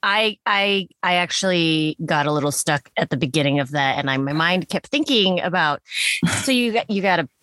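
A woman's voice: fairly low pitch, animated, moderate pace, a bit bright, no room sound. A woman hosting a podcast, talking in a bubbly, rambling way. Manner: bubbly, rambling, laughs often. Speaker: podcast host. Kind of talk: casual chat.

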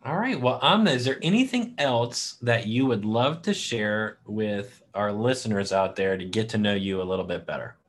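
A man's voice: fairly low pitch, animated, moderate pace, hardly any pauses. Male interview host, upbeat and encouraging.

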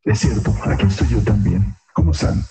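A man's voice: fairly low pitch, a little fast, warm tone, slightly rough, no room sound, faint music behind, voice pushed down. A man voicing the devil as a polite guest in a comic stream bit. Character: the devil, deep-voiced, greeting politely.

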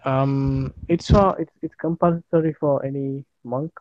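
A young man from Sri Lanka chatting casually, relaxed and hesitant with many fillers and laughs. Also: mid-range voice, a little slow. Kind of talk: casual chat. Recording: dull lo-fi online call audio with faint noise.

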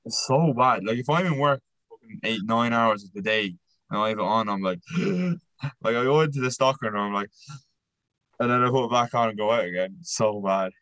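A man's voice: medium pitch, normal speed, a bit bright, no room sound, poor audio. A young man co-hosting a podcast in loose, sweary casual chat. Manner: loose and sweary, laughs often. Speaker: podcast co-host.

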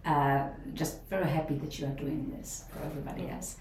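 A woman's voice: sits low, steady tone, a little fast, a little mellow, slight background noise. A middle-aged woman from Poland with a Polish accent, a professor answering interview questions thoughtfully, with many fillers and restarts. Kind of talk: interview conversation. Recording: roomy, a bit distant from the mic, faint ambience.

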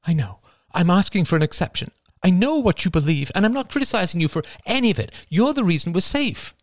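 Man doing a worried mother, pleading, high lifted voice, lively swings, dry close sound. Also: dry recording. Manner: pleading, emotional, rushed. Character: anxious pleading middle-aged mother. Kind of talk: audiobook character voice.

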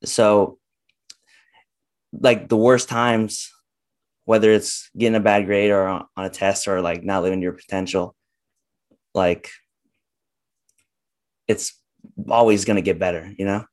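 A young man, a guest on a podcast, talking casually and haltingly with many fillers. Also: lowish voice, steady tone, darkish tone. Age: young adult. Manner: halting, lots of "like" and "uh".

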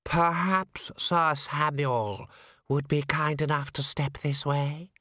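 An ancient, courtly herald played by a male narrator from a fantasy storybook. He speaks formally and deliberately, clipping each syllable with cold precision. The sound is close and dry. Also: fairly high pitch, sharp tone, dry recording, voice pushed up. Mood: coldly courteous.

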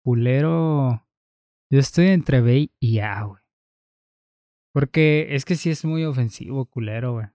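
A man's voice: mid-range voice, dark tone, no room sound, clean studio sound. A young man with a Mexican accent, co-hosting a casual podcast chat full of slang. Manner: slow, drawn-out, casual slang. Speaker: podcast co-host.

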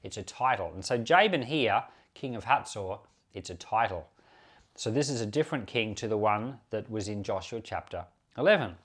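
A man's voice: fairly low pitch, animated, moderate pace, fairly bright tone, breathy edge. A man speaking as a pastor on a Bible podcast, explaining scripture in an informal, unscripted way with self-corrections and asides. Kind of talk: informal Bible commentary, teaching.

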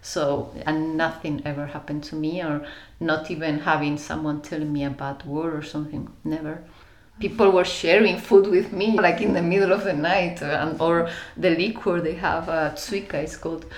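A woman from Spain with a Spanish accent, telling personal stories reflectively and haltingly as an interview guest. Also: low-pitched, fairly even intonation. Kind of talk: reflective personal storytelling in conversation. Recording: roomy echo around the voice.

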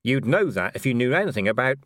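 A man's voice: mid-range voice, big pitch swings, fast, fairly bright tone, no room sound, clean studio sound, pitched above the performer's own voice. A man voicing a blunt, sceptical male commander in an audiobook, sardonic and exasperated in manner. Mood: irritated, guarded. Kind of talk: audiobook character dialogue.

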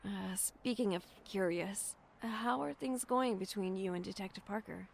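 A woman playing a shaken but defiant young survivor in an audio drama, halting and emotionally raw. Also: medium pitch, vocal fry, a little hiss or hum, natural voice. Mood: weary, gentle.

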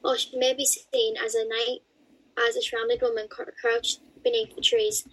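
A young woman co-hosting a podcast, reading passages aloud in a halting way with casual chat between. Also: fairly high pitch, sing-song, fairly bright tone, slightly rough, low-quality recording. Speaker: podcast co-host.

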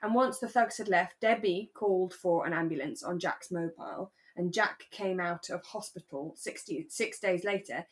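A woman's voice: fairly low pitch, moderate pace, few pauses. Chatty, reflective woman podcaster. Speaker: podcast co-host. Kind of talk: casual chat discussing a radio drama.

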